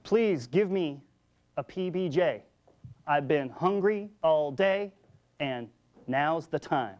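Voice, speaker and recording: A man's voice: fairly high pitch, expressive, slow pace, a little mellow, lo-fi sound. A talkative, playful man chatting casually as a guest poet, sometimes reciting short poems. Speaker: guest haiku poet and slam champion. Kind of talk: casual chat with poem recitation.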